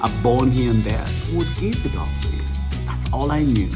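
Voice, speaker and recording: Man, reflective vlog storyteller, mid-pitched voice over guitar music; unhurried, searching for words. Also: expressive. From Uganda. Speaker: vlog host. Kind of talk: casual storytelling to camera.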